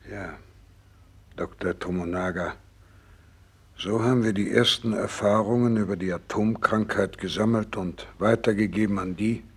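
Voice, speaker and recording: An actor in a 1960s radio drama playing a gravely ill, devout doctor, husky and creaky, speaking slowly and solemnly with resigned fervour. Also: male voice, fairly low pitch, steady tone. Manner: slow, solemn, resigned, fervent. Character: gravely ill, devout, selfless male Japanese doctor nearing death.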